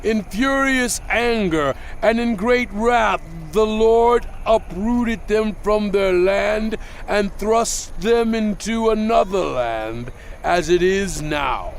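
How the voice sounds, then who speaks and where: A man playing a stern, fervent prophet leader who warns his people, declaiming with weighty pauses in a dramatized scripture reading. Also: high voice, creaky, a little hiss or hum, exaggerated voice. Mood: grave, impassioned. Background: music bed.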